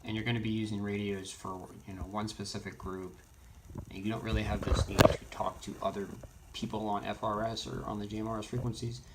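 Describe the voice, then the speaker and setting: A man's voice: fairly low pitch, flat delivery, a little fast. A man presenting a casual, hands-on radio tutorial, explaining things in an informal, rambling way. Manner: informal, rambling explanations with frequent "you know". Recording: noisy, with keyboard and clicking sounds.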